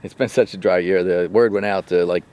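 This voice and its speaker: A man's voice: low-pitched, fairly even intonation, brisk, darkish tone. Older guy chatting on a forest walk, rambly and friendly, mic on the camera close by. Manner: rambling, chatty, acts out calls in a raised voice. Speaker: mushroom club member. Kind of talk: casual chat on a forest walk. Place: outdoors in a forest.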